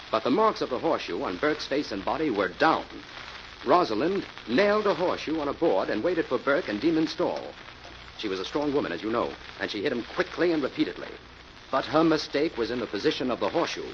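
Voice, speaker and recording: An actor playing a cool, confident crime-fighter in an old radio mystery, speaking with assured deliberation. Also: male voice, mid-range voice, close to an everyday voice. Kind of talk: 1940s radio acting. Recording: dull, faintly noisy old radio recording.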